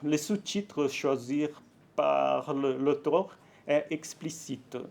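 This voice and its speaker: Brazilian man giving a halting talk in French. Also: fairly high pitch, creaky. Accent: Brazilian Portuguese. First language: Portuguese. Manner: halting, careful French with frequent fillers and self-corrections; nervous, warm, laughs lightly. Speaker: academic speaker at a conference. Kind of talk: conference talk.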